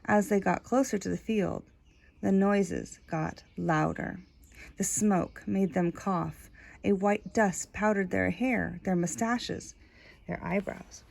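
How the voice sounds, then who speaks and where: Female storybook reader: expressive read-aloud delivery, mid pitch, creaky texture, lingers on key words. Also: slow. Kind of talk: expressive read-aloud narration of a children's book, with casual asides.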